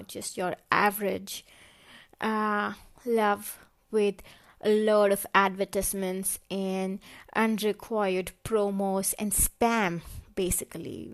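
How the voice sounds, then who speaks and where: A woman telling an embarrassed comic story, hesitant and full of fillers and restarts. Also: mid-range voice. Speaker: guest storyteller. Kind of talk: confessional comic monologue.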